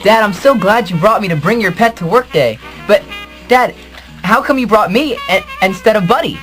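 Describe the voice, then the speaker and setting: A man voicing an eager, naive little boy with a halting, whiny delivery in a comedic radio drama. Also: high-pitched, lively intonation, over music, voice pushed up, poor audio. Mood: naive, let down.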